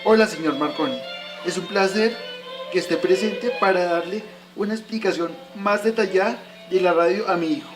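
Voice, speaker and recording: A man acts out a patient father in a scripted radio drama. His voice sits high for a man and he lectures his son in a didactic, recited way. Music plays faintly behind him. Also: moderate pace, lightly acted. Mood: explanatory.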